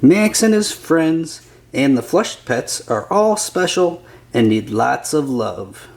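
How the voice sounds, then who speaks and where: Guy reading a kids book out loud, really slow, voice goes up and down a lot. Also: on the high side, sing-song, vocal fry. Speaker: children's storybook narrator. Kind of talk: scripted read-aloud narration.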